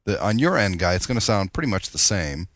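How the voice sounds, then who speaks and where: A man with a low voice, worn thin and strained, talks as the host of a laid-back tech podcast. He talks casually, with frequent fillers and restarts. The dry, close sound comes from a modest home microphone. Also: fast, dry recording. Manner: relaxed, chatty, with fillers and restarts. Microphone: lower-grade home mic.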